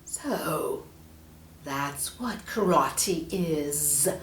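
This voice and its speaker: A woman voicing a menacing male snake villain from a children's storybook, slow and drawn out, with a hissing, breathy whisper. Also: deep voice, expressive, echoey room, full character voice. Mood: sly.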